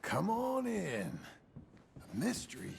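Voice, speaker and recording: An American man playing a gruff, gravelly middle-aged cowboy in a video game, laconic and a little awkward but tender and teasing. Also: high-pitched, huge pitch swings, slow, a little hiss or hum, heavily acted. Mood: gallant, playful. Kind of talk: video game acting, casual banter.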